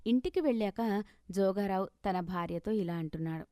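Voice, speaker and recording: A woman's voice: mid-range voice, moderate pace, mellow, no room sound, studio quality. A woman reading scripted narration for a moral story.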